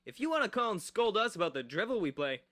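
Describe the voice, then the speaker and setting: Cocky, high-pitched wisecracking radio DJ. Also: male voice, very animated, fast talker, a bit bright. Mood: showy, teasing. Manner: theatrical, sarcastic, punning showman patter. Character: cocky, wisecracking young male late-night radio DJ. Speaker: radio host.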